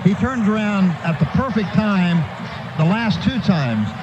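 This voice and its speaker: Male play-by-play announcer, high voice, clipped running phrases, live football commentary, noisy stadium radio broadcast. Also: lively intonation, a little slow, highs cut off.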